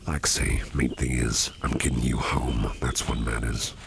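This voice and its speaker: Man as a very deep, gravelly, soothing boss. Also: fairly even intonation, very noisy, heavily acted. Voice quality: very deep, gravelly, often breathy and near-whispered. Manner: gentle, reassuring, unhurried, repeats phrases softly. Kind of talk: ASMR roleplay, soft personal attention.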